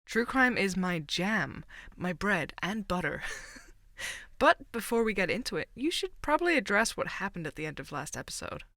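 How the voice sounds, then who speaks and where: A woman's voice: medium pitch, big pitch swings, normal speed, pushed and bright, dry recording. A woman playing an eager, playful podcast co-host who loves true crime, bantering with her partner. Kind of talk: fiction podcast drama.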